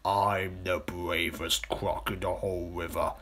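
Man voicing a slow, breathy, greedy giant crocodile. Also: low voice, flat delivery, fairly bright tone. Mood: boastful. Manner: slow, drawn-out, relishing delivery with breathy hisses. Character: enormous greedy boastful crocodile, sly and menacing. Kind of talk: children's storybook character voice.

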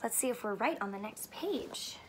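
A young woman teacher doing a read-aloud math lesson for children, animated and full of questions, speaking clearly for kids. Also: on the high side, normal speed, noisy background. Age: young adult. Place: small furnished room at home, indoors.

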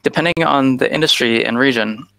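A man's voice: medium pitch, fairly quick, gravelly, a bit bright, dry recording, rough recording. A man in his thirties from the United States gives a conference talk over a video call on a headset, with frequent "uh" fillers. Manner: frequent "uh" fillers, earnest and informal. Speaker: guest speaker.

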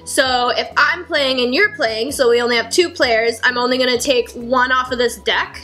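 A young woman in her twenties casually explaining board game rules at home, chatty with lots of fillers, her voice a little hoarse. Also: fairly high pitch, moderate pace, bright, forceful tone, soft background music. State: slightly hoarse. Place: home kitchen, indoors. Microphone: fixed camera at table.

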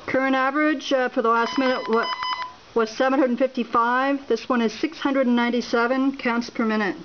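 A woman at a home filming a laptop screen, talking without a script and reading out measurement numbers with many pauses and fillers. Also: high-pitched, fairly even intonation, lo-fi sound, background noise. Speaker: home radiation monitoring vlogger. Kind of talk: unscripted on-camera walkthrough. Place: indoors at a home, beside a laptop.

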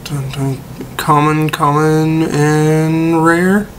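A young man with a slightly hoarse voice, as if he is losing it, giving laid-back gameplay commentary with a mildly let-down tone. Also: fairly high pitch, little pitch movement, drawn-out words, a little hiss or hum, low-quality recording. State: losing his voice. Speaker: gaming video creator.

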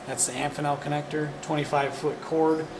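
Male demonstrator, casual and practical, recorded in an echoey workshop with loud fan hum. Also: on the high side, flat delivery, a little fast. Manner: informal, plain-spoken, practical. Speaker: product reviewer, welding demonstrator. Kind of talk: casual hands-on product demonstration. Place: indoor workshop demo area with hanging curtains. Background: machine fan and ventilation noise.

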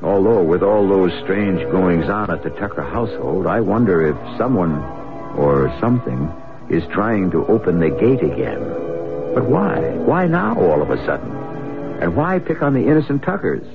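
A man's voice: low-pitched, very animated, normal speed, music in the background. A man playing the wry, suspenseful host of a radio mystery, narrating theatrically over a tinny old broadcast. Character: wry, brooding male host of mystery tales.

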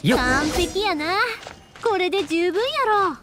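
A woman's voice: very high-pitched, lively intonation, slow pace, background noise, heavily acted. A woman playing a caring, bustling young fireworks maker in a Japanese game, with a Kansai accent and breezy, familiar manner. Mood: upbeat. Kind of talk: Japanese anime game voice acting. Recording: soft music behind.

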